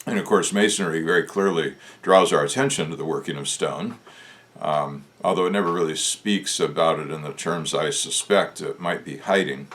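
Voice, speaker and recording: A man with a deep, low voice, a bit hoarse today, thinks aloud at his own pace. He hosts his own talk, reflective and casual, and stops often with "uh" while he gathers his thoughts. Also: fairly bright tone. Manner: musing, unhurried, with frequent "uh" pauses. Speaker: channel host discussing Masonic lore.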